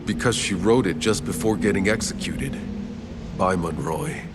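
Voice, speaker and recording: A man voicing a blunt, gruff mercenary hero in video game dialogue, swearing freely. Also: low voice, normal speed, background noise, close to an everyday voice. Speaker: player character, mercenary.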